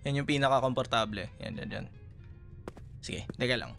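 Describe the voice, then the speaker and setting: A man's voice: mid-range voice, lively intonation, moderate pace, fairly bright tone, faint music behind, close to an everyday voice. A Filipino man playing a chatty, teasing café worker in a casual, naturalistic boyfriend roleplay audio. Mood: playful, teasing. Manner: chatty, playful, casual conversational.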